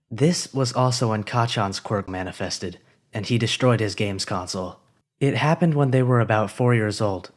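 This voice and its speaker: Male narrator for a fan fiction reading, steady and even, middle pitch.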